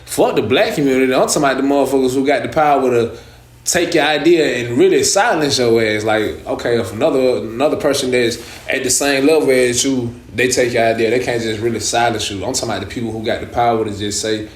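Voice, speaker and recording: A man's voice: medium pitch, quick pace. A young man with an Atlanta Southern accent, talking casually as a rapper guest in an interview, streetwise and profane in his storytelling. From east side of Atlanta, Georgia. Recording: echoey room.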